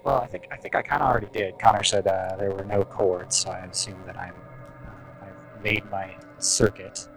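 A young man playing a tabletop game, thoughtfully planning his moves out loud with fillers. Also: sits low, steady tone, moderate pace, faint music behind, poor audio. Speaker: player.

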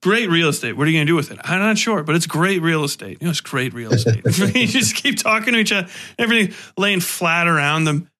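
Podcast host: American male, higher-pitched forceful voice, loose conversational riffing with plenty of swearing. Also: quick pace, few pauses. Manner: animated comic riffing, swears freely. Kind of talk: casual chat.

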